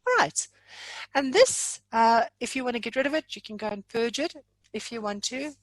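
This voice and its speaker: A woman with a fairly high voice leads an informal online training session. She talks in a chatty, playful way with plenty of exaggeration as she walks attendees through software. The sound is dry and close. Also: animated, normal speed, dry recording, low-quality recording. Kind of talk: informal live webinar walkthrough.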